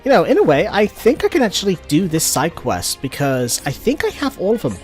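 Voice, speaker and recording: A man's voice: high voice, huge pitch swings, fairly quick, darkish tone, faint music behind. A man giving casual gameplay commentary as a let's play host.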